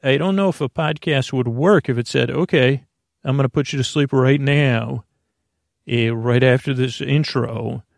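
A man hosting a bedtime podcast in a slow, creaky voice, wandering through gentle tangents and restarting his sentences. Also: medium pitch, no room sound, studio quality. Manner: slow, meandering, self-interrupting, gently joking. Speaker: sleep podcast host. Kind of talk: rambling bedtime monologue.

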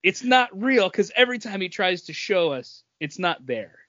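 A man with a slightly high voice hosts a casual podcast. He tells anecdotes in a storytelling way, voicing what others said. The recording is a little dull and echoey. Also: very animated, moderate pace, bright, forceful tone. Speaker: podcast host. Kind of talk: casual chat, telling anecdotes. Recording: dull, echoey room sound.

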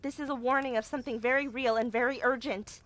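A woman's voice: high voice, fairly even intonation, fast, close to an everyday voice. A woman playing an earnest, easily flustered young witch in an audio drama, speaking with emphatic, exasperated urgency. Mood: urgent warning.

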